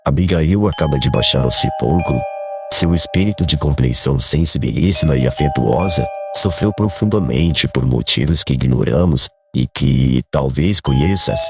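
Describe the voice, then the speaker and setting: A man acts out a kindly old countryman in mourning, calm and dignified. The voice is extremely deep, with gentle, measured, formal phrasing. Soft music plays behind this audiobook drama. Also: vocal fry. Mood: gently reproachful. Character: grieving, calm, kindly old rural father.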